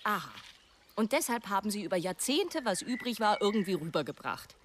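A woman's voice: medium pitch, sing-song, a little fast, lightly acted. An actress playing an insistent, indignant woman of about thirty in a radio drama, pressing and confrontational. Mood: probing. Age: 30s. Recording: outdoor nature noise, birds.

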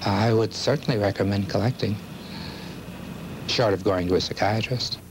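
A middle-aged man with a low voice gives expert commentary. He is measured and articulate, stressing his points, with faint noise from an old tape.

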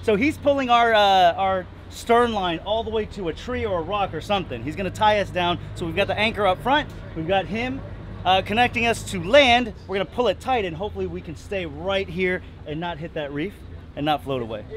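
A man vlogging a sailing day in a lively, teasing, chatty way outdoors on a sailboat. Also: high voice, slight background noise. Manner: lively, teasing, quick to laugh. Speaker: vlogger and co-host. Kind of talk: casual chat and vlog narration.

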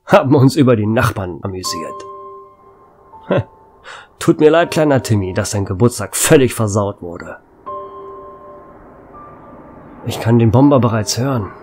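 Male actor as radio host facing the end, urgent on-air delivery, mid pitch, faint score. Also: animated, moderate pace, faint music behind, close to an everyday voice. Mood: resigned. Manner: urgent broadcast announcing, turning quiet and resigned. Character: male small-town radio host Gary, facing doom. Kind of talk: German fan radio drama acting.

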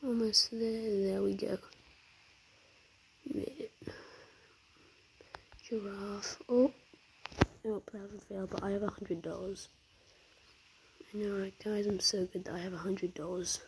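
A woman with a croaky voice, chatting in half-whispers while commenting on a mobile game she plays. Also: mid-range voice, steady tone, a little slow, pauses often, slight background noise, rough recording. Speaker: gameplay commentator.

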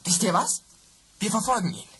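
A woman playing an excitable young boy detective in an old radio play, with an urgent, excited way of talking. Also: medium pitch, normal speed, breathy edge, poor audio.

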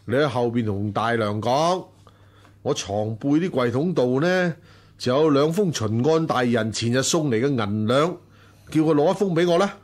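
An actor playing a wealthy, generous, bossy household master in a period radio drama, with a hearty manner. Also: male voice, mid-range voice, animated, normal speed, a little mellow, lightly acted. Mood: magnanimous, brisk. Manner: hearty, brushing off thanks. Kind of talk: Cantonese radio drama acting.

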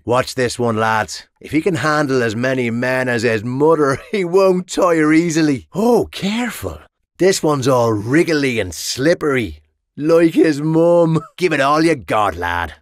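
A cynical Irish mercenary, animated pitch. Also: male voice, dry recording, studio quality. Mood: mocking. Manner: sardonic, foul-mouthed, chuckling taunts. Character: cynical, crude, mocking male medieval mercenary soldier. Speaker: video game voice actor. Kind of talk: game battle barks.

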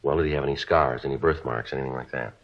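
Very deep, clipped deadpan police sergeant. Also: male voice, quick pace. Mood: calm, official. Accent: American. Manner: deadpan, clipped, matter-of-fact. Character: terse, businesslike male police sergeant detective. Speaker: lead actor and narrator. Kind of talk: 1950s radio police drama, clipped narration and dialogue. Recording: dull vintage radio recording with music.

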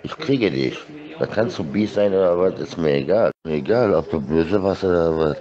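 A man's voice: low-pitched, animated, normal speed, warm tone. A middle-aged man in a rambling, insistent and repetitive informal monologue, talking into a phone. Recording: dull lo-fi phone audio.

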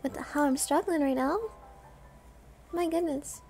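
A woman's voice: high-pitched, fairly even intonation, unhurried, darkish tone, soft background music. A woman streamer giving casual live gaming commentary, muttering reactions to herself and chatting with viewers.

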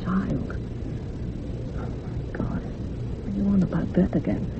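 An actress playing a troubled, gossipy older housekeeper in a radio thriller, rambling dramatically and sometimes dropping to a hush. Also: female voice, medium pitch, takes it slowly. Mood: uneasy, guarded. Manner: rambling, dramatic, hushed at moments. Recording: muffled phone-like radio recording with hiss.

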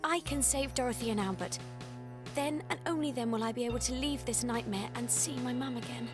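A woman's voice: fairly high pitch, fairly quick, over music, natural voice. A woman playing an earnest, determined teenage schoolgirl heroine in a video game cutscene. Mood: earnest resolve.

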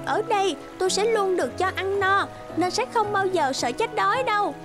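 High-pitched, smug lazy cartoon swan. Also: female voice, lively intonation, moderate pace, music in the background. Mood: smug, breezy. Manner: breezy, self-satisfied, exclaiming.

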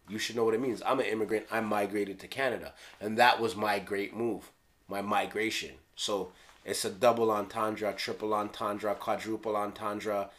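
A Jamaican man in his thirties casually explaining his own rap lyrics in a small room, playful and slangy, sometimes dropping to a hush. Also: lowish voice. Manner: playful, slangy, drops into hushed asides. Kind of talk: casual solo video commentary. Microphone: studio mic on stand.

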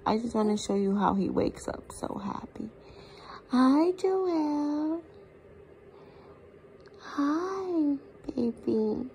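A young woman, a mother, narrating a casual family vlog at home into a close-held phone, softly cooing to her baby. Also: high voice, lively intonation, drawn-out words, gravelly, pauses often, a little hiss or hum, rough recording. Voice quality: soft, cooing baby talk. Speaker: vlogger mother. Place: home living room. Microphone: phone camera held close.

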